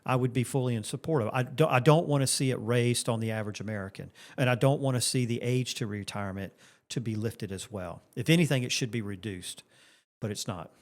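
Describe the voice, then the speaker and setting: A man's voice: medium pitch, a little fast. Earnest fifty-something man, plain answers. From Florida. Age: 50s. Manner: earnest, repetitive, with restarts. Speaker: congressional candidate. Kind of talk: interview answers.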